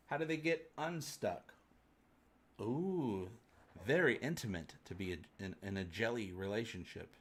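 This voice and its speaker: A man's voice: on the low side, lively intonation, a little slow. A man hosting a fan livestream, chatting casually and answering viewers in a rambling, jokey, colloquial way. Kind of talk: casual chat, answering live viewer comments.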